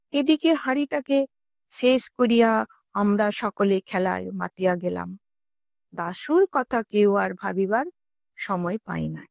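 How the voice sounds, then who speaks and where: A woman narrating a scripted story expressively, in a measured storytelling manner with formal literary diction. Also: medium pitch, vocal fry, darkish tone, no room sound. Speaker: audiobook narrator.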